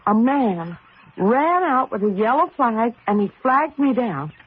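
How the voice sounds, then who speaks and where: An actress playing an affectionate, shaken woman race driver in a radio mystery drama, earnest and halting. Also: on the high side, big pitch swings, breathy edge, heavily acted. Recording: noisy narrow-band old radio recording.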